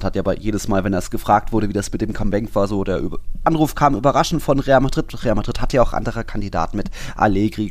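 Fast-talking man with a lowish voice. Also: a little mellow, no room sound. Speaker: football podcast co-host. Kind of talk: casual sports podcast discussion.

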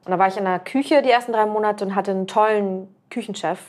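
Guest, female, about 30, mid pitch, casual and rambling storyteller. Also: steady tone, fast. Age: around 30. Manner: chatty, rambling with fillers and restarts. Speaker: podcast guest, cook.

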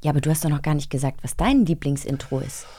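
A woman co-hosting a radio-drama podcast in a studio, chatting eagerly and quickly. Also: low-pitched, mellow, no echo. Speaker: podcast co-host.

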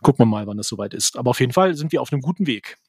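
A man's voice: medium pitch, animated, rapid-fire, a little mellow, husky, no room sound, studio quality. A man co-hosting a casual podcast from a hotel room, chatty and laughing often, with hesitant fillers. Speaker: podcast co-host. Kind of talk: casual chat. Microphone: headphones and desk mic.

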